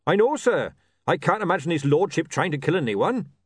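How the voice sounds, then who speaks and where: A man's voice: fairly high pitch, very animated, fairly quick, slightly rough, no echo, clean studio sound, voice pushed up. A man voicing an earnest, dutiful male watch captain in a storybook, respectful but firm. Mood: earnest, insistent.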